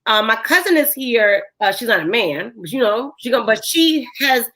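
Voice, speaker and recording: A woman with a hoarse voice, hosting a podcast in a casual chat full of fillers. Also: on the high side, animated, normal speed, bright, forceful tone. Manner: chatty, filler-heavy. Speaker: podcast host.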